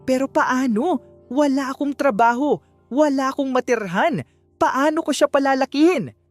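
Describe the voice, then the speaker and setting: A narrator voicing a distressed, timid young mother in an audiobook, halting and pleading through sobs. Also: high voice, dry recording, soft background music, pitched above the performer's own voice, close to an everyday voice. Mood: tearful, desperate.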